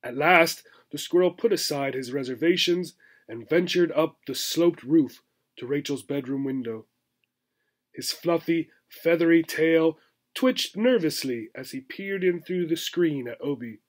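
Man, forties, fairly high voice, expressive children's storybook narration. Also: unhurried. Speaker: teacher reading a children's book aloud. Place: small furnished room indoors.

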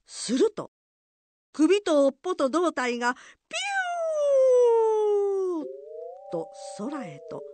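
A woman's voice: very high voice, wildly swooping pitch, extremely slow, pauses often, a little mellow. A woman narrating a folk tale for a radio drama in scripted storytelling style.

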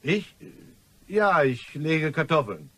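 An actor playing a scheming, irritable French gentleman with a guilty secret in a radio crime play, speaking in a curt, evasive, nervy way. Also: on the high side, sing-song, slow, heavily acted. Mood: startled, evasive. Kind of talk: radio crime drama acting.

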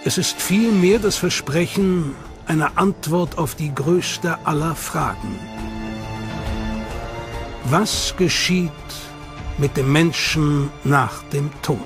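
A man with a notably high voice delivers scripted documentary narration, measured and solemn. Loud music runs under his voice throughout.

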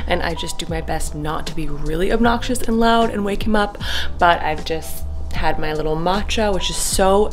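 A young woman chatting to her camera in a home kitchen, gushing and enthusiastic about her food. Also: low-pitched. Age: young adult. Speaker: vlogger. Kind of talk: casual vlog chat to camera. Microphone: handheld camera held close.

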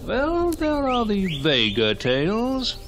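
Theatrical gentleman telling grisly legends. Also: high-pitched, wildly swooping pitch, noisy background, full character voice. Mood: ominous. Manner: theatrical, ornate phrasing, hesitant pauses. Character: genteel man recounting grisly local legends with ominous relish. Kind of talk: mid-century radio drama acting. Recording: old radio drama recording with music behind.

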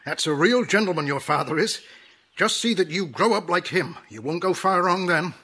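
An actor playing a kind, humble stable worker, earnest and homely, in a children's audio drama. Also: male voice, high-pitched, lively intonation, normal speed, bright, forceful tone, breathy edge, full character voice. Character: humble, kind-hearted male stable worker who loves horses.

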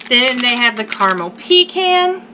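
A woman's voice: on the high side, big pitch swings, normal speed. A woman in her thirties giving a chatty, emphatic food review to camera in a casual unboxing style, recorded on a webcam in a living room. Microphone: webcam or laptop mic at arm's length. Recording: faint noise, home webcam sound.

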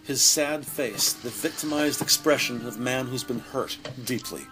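A man's voice: medium pitch, moderate pace, low-quality recording. A man narrating a scripted audio drama in descriptive prose. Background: background music.

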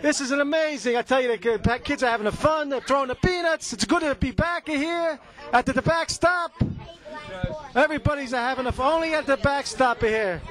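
Excitable high-pitched Italian-American vendor. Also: male voice, a little fast. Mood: excited hawking. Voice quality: broken English with stray articles. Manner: rambling, repetitive catchphrases. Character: excitable, chatty male Italian-American stadium peanut vendor. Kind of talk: improvised comic character patter.

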